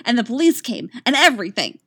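A woman voicing an excitable, proud young girl in a story, with an eager, breathless, run-on delivery. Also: high-pitched, fairly quick, pitched above the performer's own voice, exaggerated voice. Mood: excited, proud. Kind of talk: story character voice.

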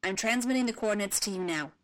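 A woman voicing a calm young female royal handmaiden who speaks in a measured way. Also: mid-range voice, lightly acted.

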